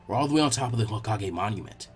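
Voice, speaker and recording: A man's voice: on the low side, expressive, rapid-fire, breathy edge, pitched below the performer's own voice. A man voicing a cheerful, easygoing young ninja boy in a fan fiction reading, friendly and plain-spoken. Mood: curious.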